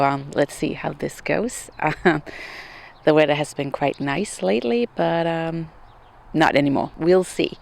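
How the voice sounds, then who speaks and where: Gravelly-voiced woman chatting about books. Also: deep voice, a little hiss or hum. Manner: chatty, hesitant with frequent ums and small laughs. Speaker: book vlogger. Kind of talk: casual book chat. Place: outdoors.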